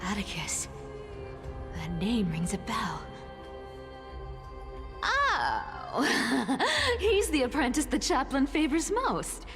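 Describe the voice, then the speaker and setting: A woman's voice: a little high, wildly swooping pitch, takes it slowly, long pauses, a bit bright, exaggerated voice. A woman playing a sly, gleeful young thief in a video game, muttering theatrically to herself as she schemes. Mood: scheming. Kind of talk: video game character acting. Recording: music behind the voice.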